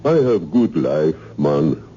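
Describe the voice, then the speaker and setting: Slow, mellow Caribbean island castaway man. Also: extremely low pitch, sing-song, slightly rough, exaggerated voice. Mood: slow storytelling. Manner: simple dialect phrasing, slow storytelling. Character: big powerful island castaway, genial but sinister. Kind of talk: 1970s radio drama acting. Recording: muffled old radio broadcast.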